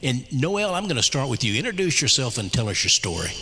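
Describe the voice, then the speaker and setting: Man, moderator, bright lowish voice, measured, chapel reverb. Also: sing-song, slight background noise. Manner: measured, with frequent uh fillers. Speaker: host and moderator. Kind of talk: event introduction. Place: chapel on stage.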